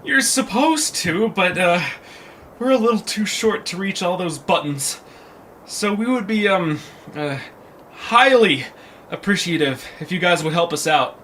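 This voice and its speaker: A man's voice: high voice, lively intonation, measured pace, sharp tone, exaggerated voice. A man voicing a bossy, hungry, self-important troll in an audio drama. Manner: pompous, demanding. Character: bossy, hungry pizza troll leader, arrogant and picky. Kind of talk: cartoonish audio drama acting. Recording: faint background noise.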